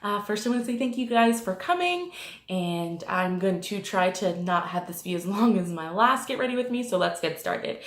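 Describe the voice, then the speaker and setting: A woman's voice: medium pitch, lively intonation, moderate pace. A woman vlogger with a slight cold, sounding a bit snuffly, chatting casually through a makeup review and rambling with lots of ums and likes. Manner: rambling, many ums and likes, self-correcting. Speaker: beauty vlogger. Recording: roomy echo.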